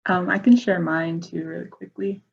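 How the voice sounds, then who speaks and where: A young woman, a native Igbo speaker, talking as a student panelist in a brisk, straightforward way. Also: fairly low pitch, steady tone, gravelly, breathy edge. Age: young adult. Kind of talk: casual panel chat on a video call. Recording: dull lo-fi audio.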